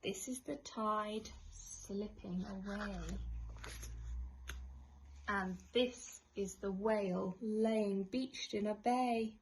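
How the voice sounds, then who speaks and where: A woman reading a rhyming picture book aloud in an expressive, measured and dramatic storytelling style. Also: mid-range voice, a little hiss or hum, poor audio. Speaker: storytime reader.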